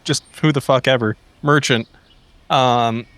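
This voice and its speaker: A man's voice: mid-range voice, fairly even intonation, measured pace, fairly bright tone, clear, resonant tone, no echo. A man chatting casually as a player in a tabletop roleplaying game.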